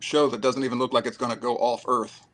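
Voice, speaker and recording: A man guesting in a casual chat, chiming in with quick remarks. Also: mid-range voice, flat delivery, a loud crowd. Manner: quick interjections.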